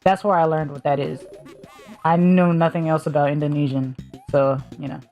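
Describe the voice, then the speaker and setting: Woman, mild Southern US accent, low voice, casual streamer chat, rambling, faint background music. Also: fairly even intonation, normal speed, a little mellow, dull audio. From Southern United States. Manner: rambling, thinks aloud with many fillers.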